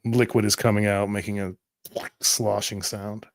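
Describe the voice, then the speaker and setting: A man serving as game master, narrating tabletop roleplay scenes in a deliberate, evocative way. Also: fairly low pitch, flat delivery, dry recording, clean studio sound.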